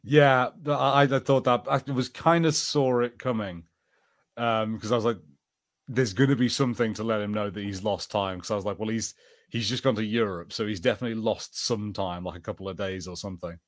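A man's voice: fairly low pitch, steady tone, a little fast, no room sound, studio quality. A man co-hosting a podcast, chatting casually in an informal, jokey way. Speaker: podcast co-host. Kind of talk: casual chat, episode review banter.

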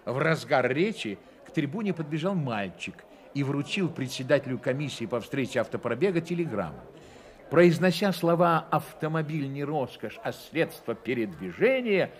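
An actor playing the wry, theatrical narrator of a satirical radio play, delivering scripted narration expressively. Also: male voice, fairly high pitch, natural voice. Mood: wry, suspenseful. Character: wry male storyteller of a satirical novel.

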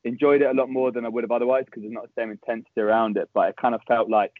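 A young man with a Southern English accent, a podcast guest chatting quickly with dry humour. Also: mid-range voice, level tone, dry recording. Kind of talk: casual interview chat. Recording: tinny phone-like call line, dry.

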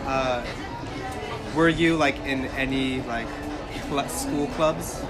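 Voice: mid-range voice, steady tone, slow. An English teacher hosting a casual conversation, talking loosely with many fillers. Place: outdoor cafe balcony in a busy city at night. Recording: loud crowd and music behind.

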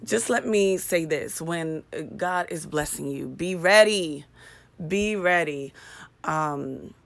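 A woman with a low, raspy voice talks straight to her listeners in a loose solo podcast. She is chatty and candid, slides into profane rants and pokes fun at herself. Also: takes it slowly. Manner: chatty, candid, ranting with swearing and self-mocking humor. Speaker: podcast host. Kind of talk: casual solo podcast monologue.

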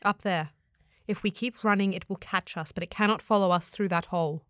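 A woman playing a calm, careful traveler in a fantasy audio drama, speaking in measured, formal phrases. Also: medium pitch, dry recording, studio quality, lightly acted. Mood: serious, firm. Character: Ruth, calm, serious, careful female traveler.

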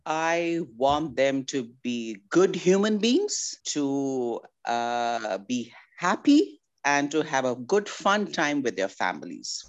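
Slow-spoken affectionate woman in her 60s. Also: rumbling low, sing-song. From India. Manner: affectionate, calls people darling. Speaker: guest. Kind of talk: casual family interview.

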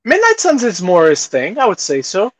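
Higher-voiced man chatting on a podcast. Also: huge pitch swings, moderate pace, no room sound. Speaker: podcast co-host. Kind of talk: casual chat about movies and TV.

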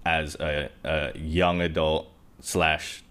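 Young guy from hong kong hosting a podcast, low voice, chatty, laughs a lot. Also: level tone, slow pace, a bit bright, clear, resonant tone. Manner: chatty, laughs often, upbeat. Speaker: podcast host. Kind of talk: casual podcast interview.

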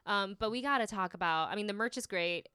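Smooth-voiced woman from Taiwan. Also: medium pitch, fast, no room sound, studio quality. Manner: animated, acts out quotes, laughs while talking. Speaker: podcast co-host. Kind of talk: casual chat.

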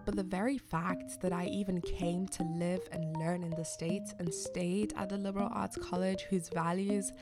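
Low, gravelly young woman reflecting. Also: fairly even intonation, over loud music. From Zimbabwe. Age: young adult. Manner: reflective, candid, measured. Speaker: podcast host. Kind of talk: scripted personal reflection.

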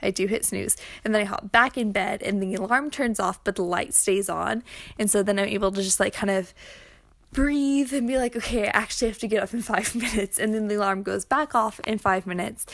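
A woman's voice: medium pitch, fairly even intonation, a little fast, vocal fry, fairly bright tone, no echo. A young adult woman talking in a casual, rambling, informal way in a personal audio log, saying "like" often. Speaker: podcaster, schoolteacher.

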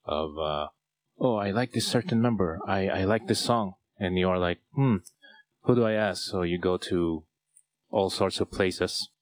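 A man's voice: deep voice, measured pace, no echo. A man with a Filipino accent hosting a casual podcast chat, rambling with fillers and restarts. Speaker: podcast host.

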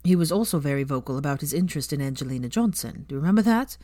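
A woman voicing an earnest, defiant young witch, caring and quick to indignation, in an audiobook-style story reading. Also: sits low, expressive, mellow, dry recording. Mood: sly, chatty.

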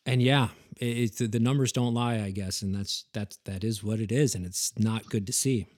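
A man hosting a casual interview, talking informally and haltingly as he thinks aloud. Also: on the low side, steady tone, darkish tone. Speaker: podcast host, former wildland firefighter.

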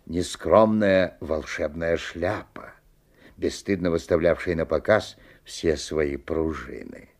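An actor playing a genial father who narrates a story in a 1970s radio play, theatrical, with deliberate pauses. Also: medium pitch, mellow. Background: faint music.

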